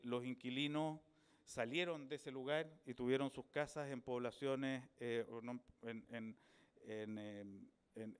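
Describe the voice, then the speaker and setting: A middle-aged Chilean man speaks in a smooth, resonant voice at an ordinary middle pitch. He gives a reflective talk about his book, thoughtful and unhurried, pausing to search for words. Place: book fair event.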